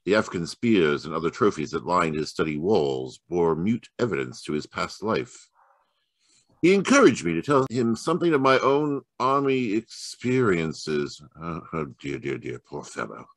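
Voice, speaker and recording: Musing gentleman detective male actor, vintage radio: low voice, very animated intonation, wry and deliberate, dry video-call sound. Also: no room sound. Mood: musing, amused. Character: musing, keen gentleman detective reading a letter aloud. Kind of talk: 1940s radio mystery acting. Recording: live performance over video call.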